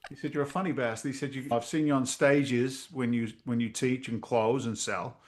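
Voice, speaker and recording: A middle-aged man, a real estate investor telling stories in a casual interview over a video call, lively and blunt, acting out voices. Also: mid-range voice, moderate pace. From Massachusetts. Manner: animated storyteller, acts out quoted voices, swears casually.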